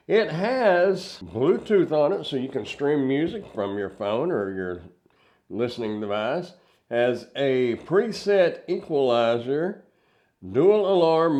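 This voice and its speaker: A man's voice: a little high, dark tone. An older man giving a casual hands-on product review, explaining things step by step in plain words.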